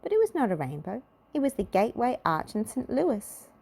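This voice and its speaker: A woman narrating a children's storybook aloud in an expressive, measured storytelling manner. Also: a little high, slightly rough.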